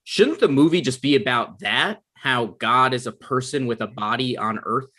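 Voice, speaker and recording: Guy as sarcastic grown son, pedantic and deadpan, mid-pitched everyday voice, comedy table read. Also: moderate pace. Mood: deadpan correcting. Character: Ryan, sarcastic, exasperated adult son and aspiring TV writer.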